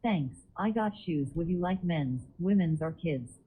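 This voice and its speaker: A woman's voice: on the low side, lively intonation, measured pace, dark tone, slightly rough, rough recording. A synthetic woman's voice acting as a shopping chatbot, stiff and robotic in phrasing.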